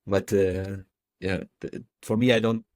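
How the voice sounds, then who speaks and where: A man's voice: low-pitched, fairly even intonation, a little slow, dry recording. A man with a Portuguese accent, a guest in a casual podcast chat, speaking earnestly and plainly.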